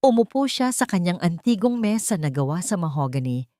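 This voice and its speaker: A woman narrates a dramatic family story in a fairly low voice. The recording is dry and close, with almost no room sound around her. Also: big pitch swings, fairly quick, dark tone, no room sound.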